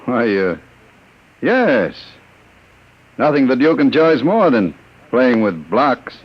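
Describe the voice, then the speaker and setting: An actor playing a smooth, menacing gangster who talks in a cold, mocking way in a 1950s radio drama. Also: male voice, mid-range voice, very animated, slow, heavily acted. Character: smooth menacing male gangster, middle-aged. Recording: muffled old radio broadcast.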